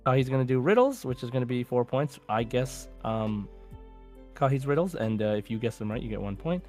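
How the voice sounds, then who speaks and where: A man's voice: medium pitch, animated, a little fast, darkish tone. Male quiz podcast host over music. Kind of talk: casual hosting of a quiz show.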